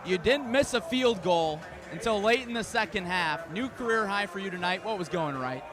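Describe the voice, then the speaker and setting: A man's voice: high-pitched, animated, moderate pace, crowd noise. A man working as a sideline reporter, asking post-game interview questions. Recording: music playing in sports venue. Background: music.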